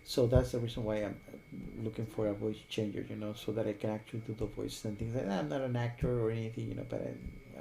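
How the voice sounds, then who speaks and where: A man talking casually and unscripted as a software reviewer, rambling and often checking in with the listener. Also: mid-range voice, creaky, slight background noise. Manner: rambling, repeatedly checks with "okay?" and "you know".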